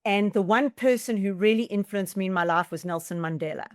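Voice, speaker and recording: A woman's voice: mid-range voice, brisk. Middle-aged woman with a South African accent. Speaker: podcast guest, team-building business leader. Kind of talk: conversational interview answers.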